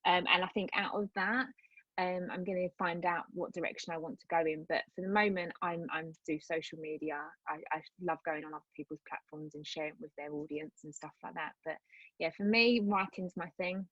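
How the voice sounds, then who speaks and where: A woman's voice: fairly low pitch, fairly even intonation, a little fast, no room sound, highs cut off. A woman, a coach, talking earnestly and rambling a little as an interview guest over a video call. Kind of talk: casual interview chat.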